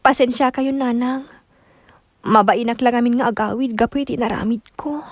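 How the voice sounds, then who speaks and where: A woman's voice: a little high, steady tone, moderate pace, no echo, clean studio sound, close to an everyday voice. An actress playing a distraught, long-suffering young mother and wronged wife in a radio drama, with a Filipino Ilocano accent. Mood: subdued, sorrowful. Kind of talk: emotional radio drama acting.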